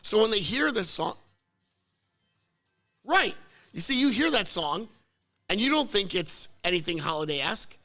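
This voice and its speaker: A man hosting a casual talk show and bantering with his co-host in a car service center. He is losing his voice and gets excitable, and his pitch jumps up when he argues. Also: a little slow, pauses often, soft background music. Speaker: podcast host.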